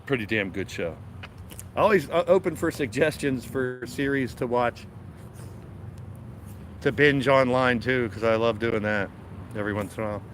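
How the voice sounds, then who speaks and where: A man's voice: medium pitch, moderate pace, pauses often. Casual male streamer, congested, rambling outdoor garden talk on a phone mic, faint street noise behind. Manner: rambling, laid-back, talks to chat while walking. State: stuffed up. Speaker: live stream host. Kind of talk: casual live-stream chat. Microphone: phone camera held while walking.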